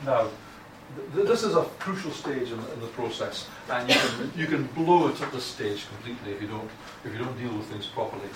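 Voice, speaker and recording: A man's voice: medium pitch, expressive, brisk. A Scottish man giving a lecture on academic writing, blunt and informal, often restarting his sentences. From Scotland. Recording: echoey room from far off, noisy.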